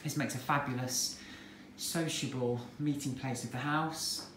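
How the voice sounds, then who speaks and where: A man's voice: medium pitch, unhurried, poor audio. English man, estate agent tour voice, easygoing patter, phone-camera sound with faint background hiss. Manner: relaxed, chatty, plain sales patter. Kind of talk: walk-through property tour narration. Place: inside a detached house and its back garden. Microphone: handheld phone camera.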